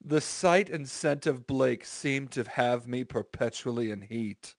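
A man's voice: mid-range voice, measured pace, dry recording. American man reading aloud, dry sound. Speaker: podcast host reading a story aloud. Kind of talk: comic dramatic reading with casual asides.

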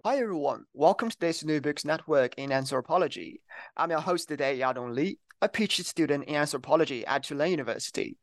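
A man's voice: a little high, expressive, quick pace, no echo. A young man hosting an academic podcast interview, speaking earnestly with a few restarts. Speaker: podcast host, PhD student. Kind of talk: interview introduction.

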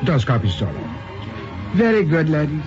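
A man's voice: fairly high pitch, big pitch swings, normal speed. A man playing an obliging, slightly bumbling Mexican cafe waiter with a Spanish accent in a radio drama. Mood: eager to please. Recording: muffled lo-fi radio, music behind.

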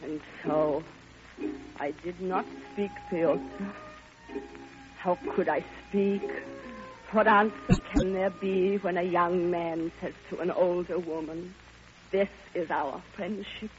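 An actress playing a lonely, lovestruck older Russian widow in an old radio drama, theatrical and yearning, with halting repetitions. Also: female voice, fairly low pitch, steady tone. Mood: sorrowful resignation. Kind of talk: classic radio drama monologue. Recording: muffled old radio recording, music behind.

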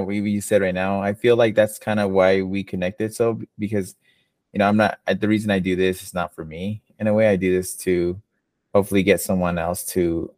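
Young man podcast host, lowish voice, set back from a dry mic, loose and laughing. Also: darkish tone, dry recording, distant mic. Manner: rambling, laughs often, restarts sentences. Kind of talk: casual interview chat.

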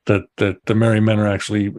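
A man's voice: fairly low pitch, monotone, fast, a little mellow. A man from the United States, a musician guest on a podcast, talking casually with many fillers.

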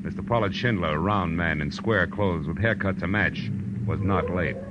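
Man acting a wry low-voiced hardboiled private eye. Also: normal speed, dull audio, noisy background, close to an everyday voice. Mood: wry narrating. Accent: American. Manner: dry, wisecracking, clipped. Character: wry, laconic hardboiled male private detective narrating his case. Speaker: lead actor and narrator. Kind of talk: 1940s radio detective drama acting. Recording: old radio recording with music underneath.

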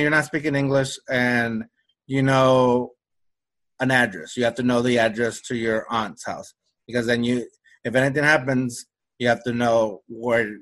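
A young man with a light Mexican Spanish accent, his voice sitting at a middling pitch. He talks loosely in a casual podcast chat, circling back, restarting sentences and leaning on fillers. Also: fairly bright tone. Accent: slight Mexican Spanish. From Mexico-US border region. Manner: rambling, frequent restarts and fillers. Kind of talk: casual conversation.

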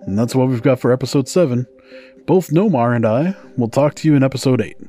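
A man's voice: medium pitch, fairly quick, warm tone. A man hosting a podcast, narrating folktales from a script in a storytelling style. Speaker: podcast host. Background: music.

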